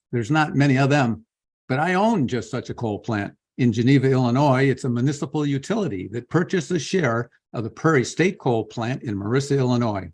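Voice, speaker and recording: A man reading earnest, measured testimony at a public hearing over a video call. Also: mid-range voice, a little mellow. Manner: earnest, measured, spells out his name slowly. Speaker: public commenter.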